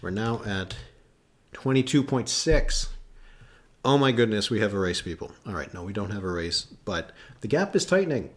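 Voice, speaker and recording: Low-voiced man thinking aloud. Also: animated, normal speed. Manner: musing, chatty, thinks aloud with many fillers. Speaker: political analyst hosting a livestream. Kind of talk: casual live analysis reacting to chat.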